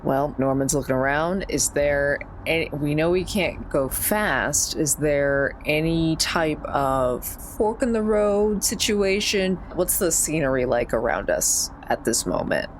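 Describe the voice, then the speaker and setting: A woman with a low-pitched voice talks as a player in a tabletop game. She is chatty and informal, often thinking aloud. Some noise sits behind her. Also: expressive, a little slow, hardly any pauses. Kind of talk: casual in-game chat.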